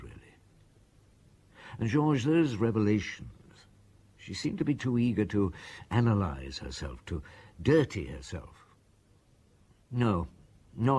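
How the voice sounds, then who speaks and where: A tired inspector, lowish voice that moves around a lot, dry questions, faint hiss. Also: male voice, a little mellow, breathy edge, heavily acted. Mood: reflective. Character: weary, probing middle-aged police inspector. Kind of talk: radio drama acting and narration.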